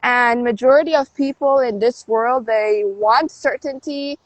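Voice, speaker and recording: A woman's voice: a little high, unhurried, no echo, low-quality recording. A woman from Canada, a DJ and life coach, talking upbeat and motivational in a livestream interview, with emphatic swings. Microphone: phone livestream.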